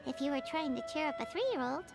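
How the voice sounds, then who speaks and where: A woman's voice: sits high, expressive, fast. A woman voicing a tiny, curious and sassy fairy-like companion in a video game. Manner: speaks of herself in third person, sassy. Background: clear game music.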